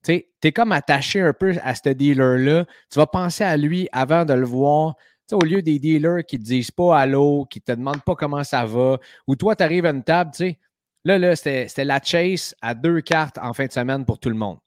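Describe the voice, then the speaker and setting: A man's voice: a little high, moderate pace, no room sound. Chatty Québécois man, dry close sound. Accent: Québécois French. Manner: animated storytelling, frequent "tu sais". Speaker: podcast host. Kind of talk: casual chat.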